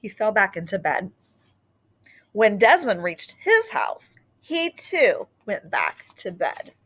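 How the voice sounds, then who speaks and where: A woman reading a children's picture book aloud in an expressive storytelling style. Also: medium pitch, slow pace, a little hiss or hum.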